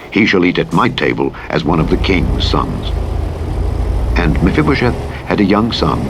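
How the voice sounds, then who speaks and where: A man with a deep voice narrates scripture in a solemn, formal way. His delivery is measured throughout. Vehicle noise from a driving game runs clearly behind him. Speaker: Bible audiobook narrator. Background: truck simulator game driving sounds.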